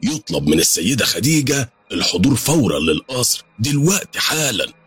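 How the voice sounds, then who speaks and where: A man's voice: mid-range voice, big pitch swings, brisk, fairly bright tone, husky, soft background music, voice pushed up, heavily acted. A man voicing a jittery, dim-witted male messenger who announces in Egyptian Arabic. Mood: flustered. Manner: flustered, announcing.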